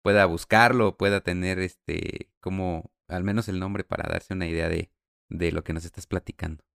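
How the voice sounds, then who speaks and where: Low, creaky-voiced man. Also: steady tone, moderate pace, a bit bright, dry recording, studio quality. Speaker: podcast co-host. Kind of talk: casual conversational interviewing.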